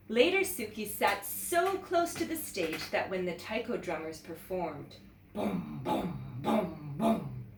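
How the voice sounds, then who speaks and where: A woman teacher reading a children's story aloud in an expressive, animated way, talking directly to young viewers. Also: medium pitch. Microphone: camera mic at some distance. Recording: roomy, distant mic with background noise.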